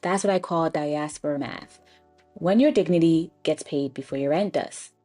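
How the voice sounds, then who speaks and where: A young American woman telling personal stories in a casual, reflective, conversational way, pausing for emphasis. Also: deep voice, warm tone, soft background music. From Ghana and the Bronx, US. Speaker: personal finance storyteller.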